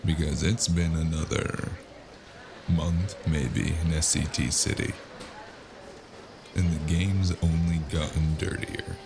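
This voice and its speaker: A man playing a weary, cynical private detective in a brooding, deliberate noir audio drama monologue. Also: extremely low pitch, little pitch movement, exaggerated voice. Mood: grim, weary. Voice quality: gravelly. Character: weary, cynical hard-drinking male private detective in a gritty city. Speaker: audio drama narrator and lead actor. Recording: music underneath. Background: music.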